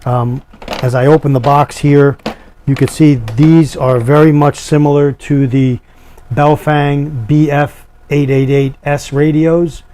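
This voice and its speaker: A middle-aged man giving an unscripted product demonstration in a matter-of-fact, explanatory, somewhat halting way. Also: on the high side, level tone, warm tone. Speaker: product reviewer.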